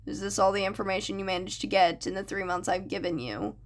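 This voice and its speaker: A woman voicing an imposing, menacing male crime boss in a flat, cutting, controlled manner for a story. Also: medium pitch, fast talker, pitched below the performer's own voice, lightly acted. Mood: scrutinizing, cold.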